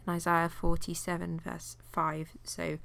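A woman's voice: fairly low pitch, completely flat delivery, a little slow, creaky, a bit bright. A woman teaching an informal Bible study. She has a cold and sounds nasal, with many fillers as she reads passages aloud.

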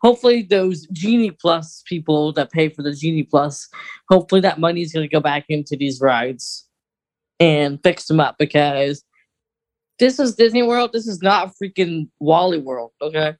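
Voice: mid-range voice, moderate pace, a little mellow. An American podcast co-host chatting casually in an informal, rambling, conversational way.

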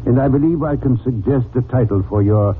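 A lofty, erudite detective played by a male actor from 1940s radio drama. He speaks in a mid-pitched voice with dry, pompous scorn. The sound is thin and lightly hissy. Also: a little fast, natural voice. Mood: sardonic. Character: haughty, sardonic genius male detective. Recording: scratchy, narrow-band old radio.

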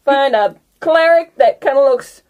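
A woman's voice: sits high, a little slow, phone-quality sound. A woman narrating a tabletop game casually as the game master.

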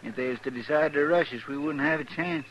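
An actor playing an anxious, folksy deputy sidekick with a rural Western American drawl in a 1950s radio western. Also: male voice, fairly high pitch, fast, a bit bright, natural voice. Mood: uneasy. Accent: rural American West. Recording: noisy, hissy vintage radio recording.

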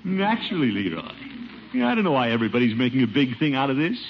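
Blustery uncle on muffled old radio. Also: male voice, mid-range voice, sing-song, quick pace. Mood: defensive. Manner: grumbling, pompous, defensive. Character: blustery middle-aged uncle and water commissioner, flustered host. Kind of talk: 1950s radio situation comedy acting. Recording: muffled, noisy 1950s radio recording.

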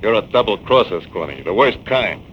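A menacing gangster played by a man snaps out curt threats in a low, hard voice. The sound is an old 1940s radio drama transcription, dull and lightly hissy. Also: animated, moderate pace, lightly acted. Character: tough male gangster, menacing and distrustful.

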